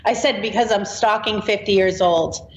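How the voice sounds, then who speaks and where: A woman's voice: medium pitch, fairly even intonation, normal speed. A woman in her late forties, an author chatting as a podcast guest, talking easily and laughing now and then. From Boston. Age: 40s. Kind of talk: casual interview chat. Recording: roomy, dull remote audio with faint noise.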